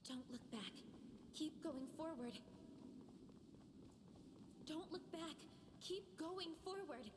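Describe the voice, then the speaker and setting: A woman's voice: sits high, lively intonation, slow, long pauses. An actress playing an eerie, insistent mysterious messenger woman in a video game. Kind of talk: scripted game voice acting. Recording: long reverb, noisy game audio.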